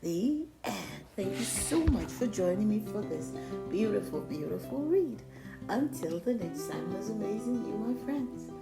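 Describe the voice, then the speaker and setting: A woman's voice: medium pitch, sing-song, a little slow, creaky, loud music behind the voice, poor audio. A woman reading a children's book aloud in an effusive, dramatic storytelling manner. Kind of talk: expressive read-aloud for children.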